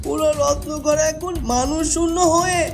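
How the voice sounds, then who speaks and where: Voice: high-pitched, steady tone, normal speed, gravelly, over music. A performer playing a timid, starving male royal servant in a cartoon, pleading and deferential. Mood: despairing.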